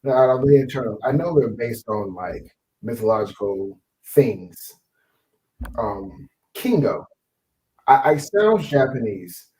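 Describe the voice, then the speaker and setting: A man's voice: medium pitch, very animated, measured pace, a little mellow. Man chatting casually in an echoey room. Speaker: co-host of a movie review podcast. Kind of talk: casual chat with short interjections.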